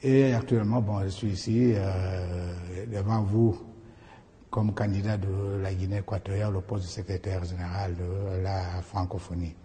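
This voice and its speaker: A middle-aged man from Equatorial Guinea, whose first language is Fang, answering interview questions in a halting way with many pauses and restarts. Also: low-pitched, level tone, mellow, long reverb tail, slight background noise. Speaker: candidate being interviewed.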